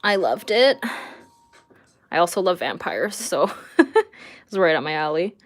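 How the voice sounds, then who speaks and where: Casual, giggly woman with a lowish voice. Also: very animated, unhurried, soft background music. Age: 30s. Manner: giggly, informal, chatty. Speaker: livestreaming artist reading and answering viewer chat. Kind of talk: casual livestream chat while drawing.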